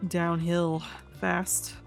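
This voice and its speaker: A woman commentating casually as she plays a video game, hoarse and losing her voice, talking quietly to herself. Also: fairly low pitch, level tone, very slow, faint music behind. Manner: quiet, subdued, talking to herself while playing. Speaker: gaming video host. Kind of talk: casual let's-play commentary.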